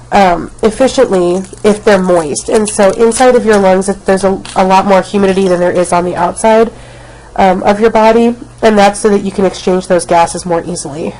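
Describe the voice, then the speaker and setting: A woman's voice: medium pitch, darkish tone, rough recording. A woman teacher recording a casual biology lecture, snuffly from a cold, with many ums as she talks. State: has a cold, snuffly and coughing. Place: at home, not at school.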